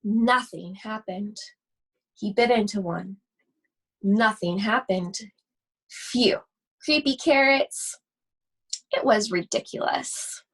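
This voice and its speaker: A woman reading a children's storybook aloud expressively, with deliberate, suspenseful phrasing and drawn-out words. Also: mid-range voice, fairly bright tone, slightly rough. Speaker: school library read-aloud host.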